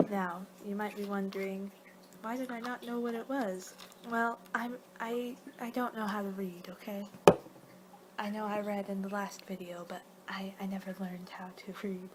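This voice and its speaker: Rambling woman doing whispery ASMR roleplay. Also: mid-range voice. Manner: rambling, playful, often losing her train of thought. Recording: clear background noise.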